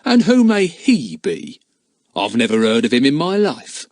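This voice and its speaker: A man voicing a menacing one-eyed male villain who talks roughly and mockingly, read in a storybook. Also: medium pitch, big pitch swings, moderate pace, dry recording, pitched above the performer's own voice. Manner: rough, jeering. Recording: dry close mic.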